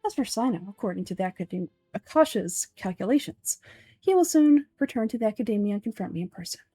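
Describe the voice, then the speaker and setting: A woman's voice: medium pitch, expressive, fast talker, warm tone, husky, no room sound, faint music behind. A woman voicing a pompous, coldly calculating old male villain from a game, speaking formally and condescendingly. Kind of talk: amateur voice-over of game dialogue.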